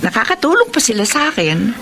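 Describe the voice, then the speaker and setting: A woman's voice: on the high side, huge pitch swings, fast, sharp tone, heavily acted. A woman playing a young, responsible, self-reliant eldest sister in a Filipino radio drama. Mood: resigned, firm. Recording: noisy radio drama recording.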